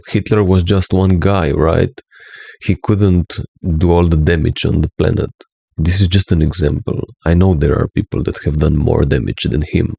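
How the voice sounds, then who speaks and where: Slow, gravelly low-voiced Slovenian man. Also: dark tone, no room sound. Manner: preachy, often adding a questioning "right?". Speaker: spiritual teacher. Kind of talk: earnest monologue to camera.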